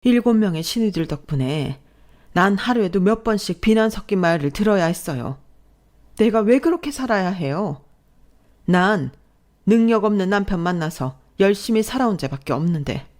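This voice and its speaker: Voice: mid-range voice, normal speed, a little mellow, natural voice. Narrator doing a worn-out korean mom in a story, fed up and pleading, woman's part. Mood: aggrieved. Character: long-suffering Korean wife and mother, pleading, fed up. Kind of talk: dramatized storytelling dialogue.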